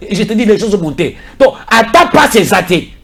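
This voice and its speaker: Congolese man, excitable voice with sweeping pitch. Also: moderate pace, creaky, husky. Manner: emphatic, repetitive, insistent. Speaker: political commentator. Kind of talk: heated political talk mixing French and Lingala.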